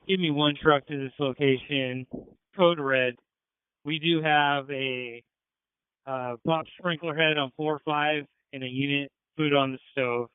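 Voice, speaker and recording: A man's voice: on the high side, fairly even intonation, a little slow, clean tone, no echo. A man reporting to dispatch as a fire incident commander, tersely, over a two-way radio. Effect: fire radio transmission. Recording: tinny narrowband two-way radio.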